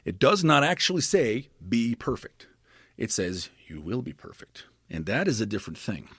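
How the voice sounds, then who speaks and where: A man talks through scripture with an animated, expressive teaching manner, often restarting his phrases. His voice sits in the middle range. The recording is dry and close. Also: medium pitch, normal speed, slightly rough, no room sound. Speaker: podcast guest scholar. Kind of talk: explanatory scripture discussion.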